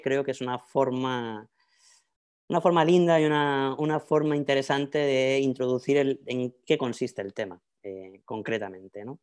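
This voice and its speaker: A man's voice: medium pitch, a little mellow. A middle-aged man with a Madrid Spanish accent, giving an informal academic talk in a conversational way with frequent tag questions, over a video call on an earphone mic. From Madrid, Spain. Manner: conversational, often tagging phrases with a questioning "¿no?". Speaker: academic panelist, researcher. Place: indoors in a book-lined room, on a video call. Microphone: wired earphone headset on a video call.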